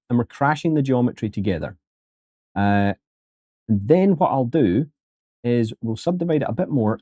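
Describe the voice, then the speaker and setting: A man's voice: medium pitch, warm tone, dry recording, clean studio sound. A man teaching 3D sculpting on a live stream in a casual, joking way, talking to his viewers as folks.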